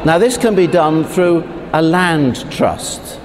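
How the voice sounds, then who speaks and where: A parish priest speaks slowly and haltingly, pausing and restarting as he gives a prepared statement. His voice sits a little high for a man. It carries a long reverb from a church hall, with faint noise behind it. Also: lively intonation. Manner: slow and halting, with pauses and restarts. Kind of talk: prepared statement for a video letter.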